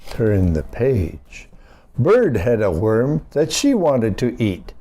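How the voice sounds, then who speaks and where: A man with a mellow voice reads a children's rhyming storybook. His pitch swings widely as he goes, animated and aimed at little listeners. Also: slight background noise. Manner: unhurried, animated, addressing young listeners. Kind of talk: expressive read-aloud of a rhyming picture book.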